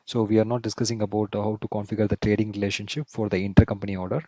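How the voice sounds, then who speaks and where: A man presenting a screen-share software tutorial, explaining step by step and often repeating himself. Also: lowish voice, fairly even intonation, racing, no echo. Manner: step-by-step, repetitive explaining, often checks with "right?".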